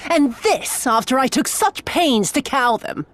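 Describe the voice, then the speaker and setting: A woman's voice: high voice, lively intonation, takes it slowly, fairly bright tone, full character voice. A woman playing a cruel, contemptuous military commander in a video game, with a haughty, sneering, theatrical manner. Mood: disdainful, sinister. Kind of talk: video game villain acting.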